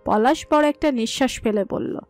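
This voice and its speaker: A woman narrating a romantic family story in a calm, even manner. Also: on the high side, moderate pace. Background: soft music.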